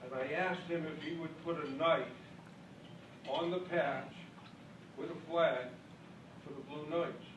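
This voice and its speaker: A man's voice: a little high, steady tone, unhurried. An older man from Boston with a Maine accent, telling a folksy, self-deprecating story in a speech at a club convention. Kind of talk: after-dinner speech, storytelling. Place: large hall with an audience. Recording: echoey hall, distant mic, loud background noise.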